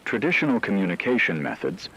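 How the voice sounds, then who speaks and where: A man voicing a grave radio news anchor, reading a scripted emergency broadcast in a formal, measured way. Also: lowish voice, very animated, sharp tone, breathy edge, heavily acted, rough recording. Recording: radio-style broadcast with faint hiss, rain-like noise.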